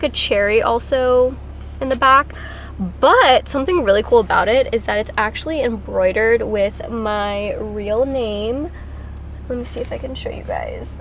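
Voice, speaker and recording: A woman's voice: fairly high pitch, a little hiss or hum. A woman, a travel vlogger chatting casually to the camera, a little congested from a cold, with lots of "like" and "um". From Philippines. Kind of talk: casual vlog talk to camera. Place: driving around and outdoors in summer.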